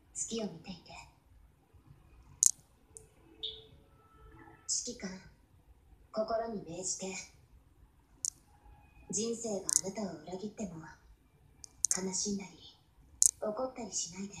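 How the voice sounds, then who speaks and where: Casting: actress as devoted young anime game heroine, soft, caring, breathy, comforting her commander; roomy screen playback with faint noise. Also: medium pitch, natural voice. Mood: soothing, intimate. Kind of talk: Japanese anime game voice lines.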